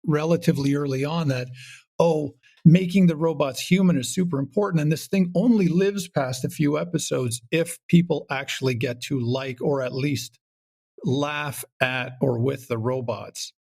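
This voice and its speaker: Gravelly-voiced man explaining his creative work. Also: on the high side, moderate pace, darkish tone. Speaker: guest, comedy writer and show creator. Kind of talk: casual interview conversation.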